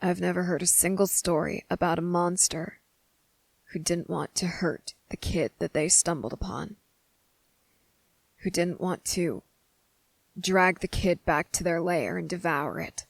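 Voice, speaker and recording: A woman voicing a guarded, defiant young boy with deliberate, halting, serious delivery in an ASMR roleplay audio drama. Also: on the low side, gravelly, no echo. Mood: puzzled, guarded.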